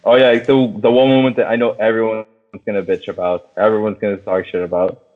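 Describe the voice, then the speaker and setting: A man co-hosting a podcast, discussing a movie casually with excitable, slangy talk and plenty of swearing. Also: fairly low pitch, fast. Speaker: podcast host. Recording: thin phone-like band-limited sound.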